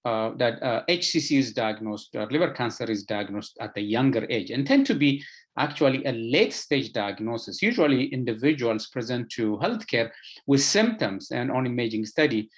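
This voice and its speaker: A man with an Ethiopian accent gives a medical lecture as an expert, speaking haltingly with frequent filler sounds and repeated words. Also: mid-range voice, animated. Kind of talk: lecture presentation to health professionals.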